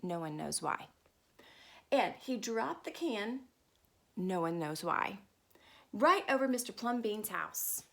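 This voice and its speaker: A woman reading a picture book aloud to children, expressive and stopping to ask her listeners questions. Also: mid-range voice, slow pace, vocal fry, pauses often, slight background noise. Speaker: storyteller.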